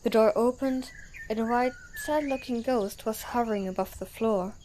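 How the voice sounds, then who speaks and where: A woman's voice: a little high, normal speed, warm tone, slight background noise. A woman narrator reading scripted story narration for an audio drama.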